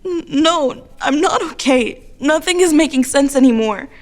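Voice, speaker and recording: A woman playing a troubled, anxious teenage high school girl, speaking hesitantly and earnestly in an audio drama. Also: lively intonation, normal speed. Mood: distressed. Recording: music under the voice. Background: music.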